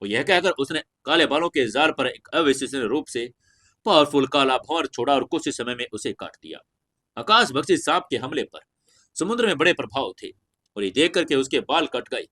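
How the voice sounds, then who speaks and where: A man's voice: mid-range voice, lively intonation, moderate pace, sharp tone. A man narrating a scripted fantasy audio story in a dramatic storytelling style.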